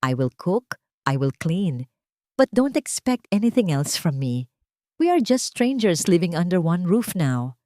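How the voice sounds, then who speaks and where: A woman's voice: lowish voice, sing-song, measured pace, dark tone, no room sound, clean studio sound. A Filipino woman voicing a wounded young wife in a story, cold and resigned.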